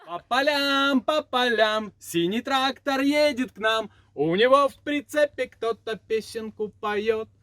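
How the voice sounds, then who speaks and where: Very high cheerful cartoon tractor sung by a man. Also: a little slow, a bit bright. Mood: cheerful, playful. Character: cheerful young singing blue tractor for toddlers. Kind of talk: children's cartoon song. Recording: music along with the voice. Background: children's song music.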